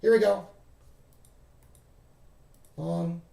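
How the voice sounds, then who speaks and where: A man walking through his charts on a casual screen-share, thinking aloud and often stopping to correct himself. His voice is gravelly and fading, and he sits a little high with a mellow, darkish tone. The room adds a clear echo. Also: steady tone, drawn-out words. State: losing his voice. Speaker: trading streamer.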